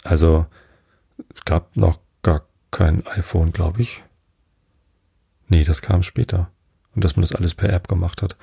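A man from Germany, hosting a bedtime podcast in a casual, unhurried, meandering monologue, thinking aloud. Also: deep voice, gravelly, warm tone, breathy edge, no echo, clean studio sound. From northern Germany. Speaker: podcast host.

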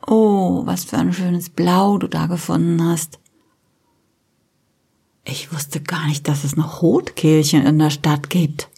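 A woman acting a gentle character who marvels at nature in an audio play, in a breathy, hushed and wondering way. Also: fairly low pitch, little pitch movement, full character voice. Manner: slow, wondering.

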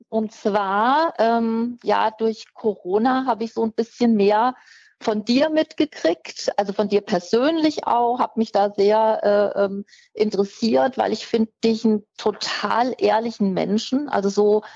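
A woman's voice: on the high side, darkish tone, dry recording. A woman around sixty calling in to a radio show, chatty and laughing, searching for words. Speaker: caller. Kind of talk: phoned-in question. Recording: muffled phone line.

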